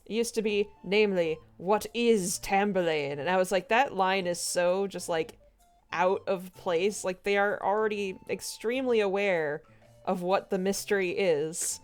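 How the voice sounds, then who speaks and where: Casual woman streamer over soft music. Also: mid-range voice, moderate pace. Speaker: co-host of comic read-along stream. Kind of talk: casual chat.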